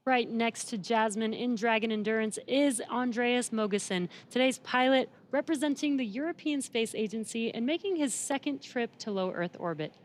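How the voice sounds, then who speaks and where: A woman presenting a broadcast segment in a smooth scripted way. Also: on the high side, moderate pace, hardly any pauses.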